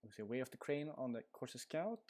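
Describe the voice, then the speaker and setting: A man with a Swedish accent casually commentates a card game stream over a headset. His voice sits at an ordinary middle pitch, and his delivery rambles with plenty of ums and uhs. Faint mouse clicks tick in the background. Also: very animated, fairly quick, a little mellow. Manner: casual, rambling, frequent uh fillers.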